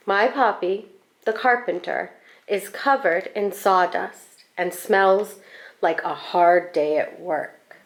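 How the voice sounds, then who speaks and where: Woman reading a picture book, slow and deliberate. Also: medium pitch. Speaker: school staff storybook reader. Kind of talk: expressive children's picture book read-aloud.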